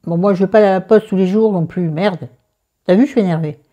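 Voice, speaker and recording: A woman's voice: medium pitch, a little fast, warm tone, highs cut off. A middle-aged woman with a southern French accent, chatting casually and self-mockingly about her makeup and skincare. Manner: chatty, self-mocking, talks to viewers and friends by name. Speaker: beauty vlogger.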